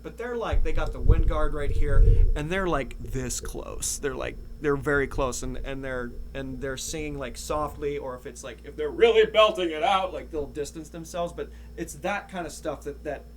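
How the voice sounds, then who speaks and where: A man's voice: fairly high pitch, moderate pace, few pauses, a bit bright. A young man hosting a casual interview, thinking aloud and rephrasing as he goes. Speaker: podcast host. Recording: clearly noisy with a background hum.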